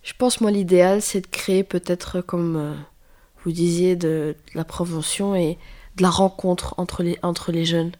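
A woman's voice: lowish voice, steady tone, a little slow, a little mellow. A woman on a discussion panel, speaking tentatively and searching for her words.

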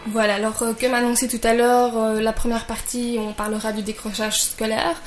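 A woman's voice: on the high side, little pitch movement, normal speed. Woman hosting in an echoey room. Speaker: radio show host. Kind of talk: hosting a youth discussion show. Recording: roomy echo, faint background noise.

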